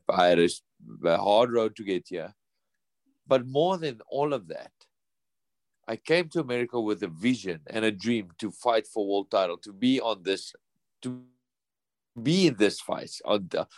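A man's voice: lowish voice, dry recording. A professional boxer in his thirties answering interview questions earnestly, often repeating and restarting words. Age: 30s.

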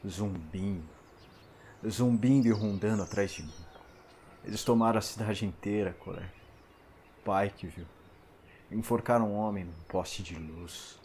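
A male actor with a Brazilian accent playing a frightened, exhausted man on the run in an audio drama, speaking in a haunted, urgent, halting way. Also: sits low, darkish tone, slight background noise. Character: frightened, exhausted man fleeing an unseen invasion.

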